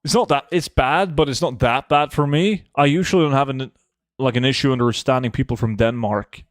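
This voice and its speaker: Relaxed young man chatting with viewers. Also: mid-range voice, a little fast, no room sound, clean studio sound. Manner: relaxed, chatty, answers viewer questions offhand. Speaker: gaming streamer reading chat. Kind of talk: casual live gameplay chat.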